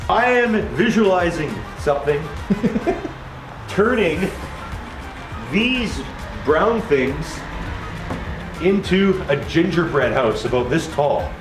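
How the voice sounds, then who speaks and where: A man's voice: on the high side, lively intonation, very slow, long pauses. Young guy from canada, jokey and laughy, echoey room with music going. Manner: joking, laughing often, repeats words. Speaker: friend on a vlog. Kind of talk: casual chat.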